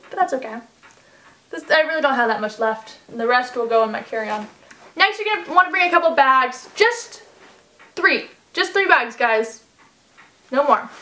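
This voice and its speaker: A woman's voice: high-pitched, pushed and bright. A woman in her twenties chatting through a casual packing vlog in a living room, upbeat and full of "like"s and "um"s. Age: 20s. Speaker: vlogger. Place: carpeted living room by a fireplace, indoors.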